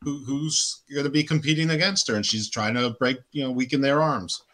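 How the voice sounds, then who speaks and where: A man's voice: mid-range voice, a little fast, pushed and bright. A man on a casual podcast panel, giving wordy commentary with a dry, teasing manner.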